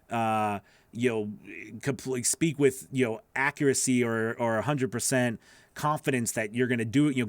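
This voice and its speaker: A man's voice: medium pitch, fairly bright tone, no echo. A man coaching voice-over talent in a casual livestream Q&A, rambling and correcting himself as he goes. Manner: rambling, self-correcting, lots of you know. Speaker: voice-over coach answering live questions.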